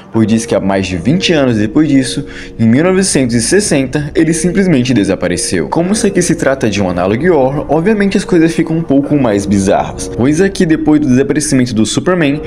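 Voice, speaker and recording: A man with a Brazilian accent giving casual, chatty scripted commentary as the host of an online video. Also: medium pitch. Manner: informal, chatty storytelling with colloquial turns. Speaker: YouTube host and narrator. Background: background music.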